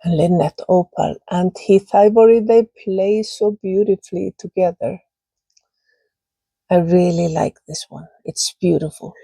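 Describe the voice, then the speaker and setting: A woman's voice: lowish voice, creaky, warm tone, slightly rough, no echo. A woman with an Icelandic accent, casually showing her pottery in a vlog, searching for words as she goes. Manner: slow, searching for words, with fillers. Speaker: potter showing kiln results. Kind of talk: casual show-and-tell vlog.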